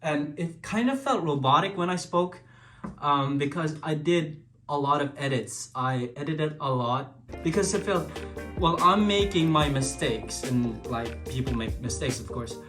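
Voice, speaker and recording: A man's voice: fairly high pitch, expressive, unhurried, a bit bright. A man from Sweden speaking English with a Swedish accent, musing in a casual vlog with frequent restarts and filler words. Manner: hesitant, many restarts and filler likes. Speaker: vlogger. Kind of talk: reflective casual monologue. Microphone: built-in camera mic after external mic died. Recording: talk over clear background music.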